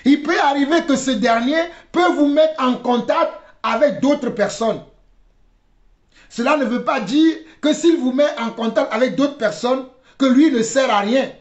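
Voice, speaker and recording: A man giving religious commentary in a deliberate, halting way, repeating phrases for emphasis. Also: very high voice. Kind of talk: spoken commentary with preaching. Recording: dull, roomy, echoing recording.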